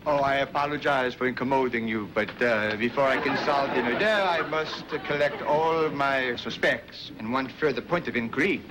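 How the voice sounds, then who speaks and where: A man's voice: mid-range voice, normal speed, few pauses, noisy background, close to an everyday voice. An actor playing a pompous detective in a fake Belgian accent, fussy and hesitant in his mock-formal speech. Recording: sitcom tape, loud audience laughter.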